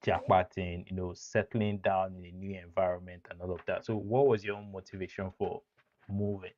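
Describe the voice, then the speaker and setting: Low-voiced man hosting, dull recording. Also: little pitch movement, moderate pace. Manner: easygoing, laughs along. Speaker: host. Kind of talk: casual interview chat.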